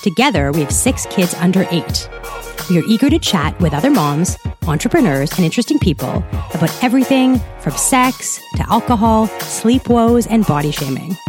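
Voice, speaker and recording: A woman's voice: on the low side, animated, a little fast, music in the background. A woman who is a pediatrician co-hosting a podcast, talking in a direct, no-nonsense way. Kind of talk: podcast intro and casual chat.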